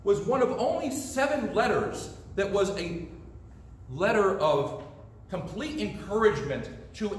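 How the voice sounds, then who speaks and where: A middle-aged man preaching a storytelling sermon in a church sanctuary, gesturing with both hands as he speaks. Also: high voice. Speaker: pastor. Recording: distant echoey sanctuary sound.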